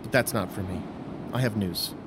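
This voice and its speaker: A man's voice: on the low side, normal speed, noisy background, close to an everyday voice. An actor playing an earnest young Roman nobleman and officer in an audio drama, speaking with formal diction. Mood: resigned. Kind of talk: full-cast audio drama acting.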